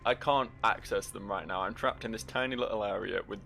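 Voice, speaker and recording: A man's voice: lowish voice, brisk, vocal fry, pushed and bright. A man giving casual, joking let's play commentary, rambling and teasing as he talks to the game's character. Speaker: gaming video host.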